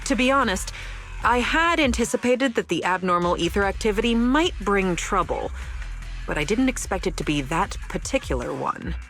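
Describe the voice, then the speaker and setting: A woman's voice: mid-range voice, expressive, moderate pace, a bit bright. An actress playing a playful, rebellious young woman researcher in a video game, teasing, breezy and sure of herself. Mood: musing. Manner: teasing, breezy, self-assured. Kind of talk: scripted video game story dialogue. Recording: music behind the voice.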